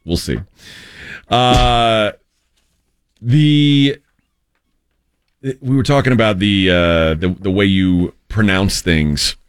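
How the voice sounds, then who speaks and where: A man from Texas hosting a radio talk show, asking questions in a hesitant, halting way. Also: on the low side, clean tone, dry recording, clean studio sound. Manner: hesitant, halting, full of restarts. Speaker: radio host. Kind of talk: casual radio chat.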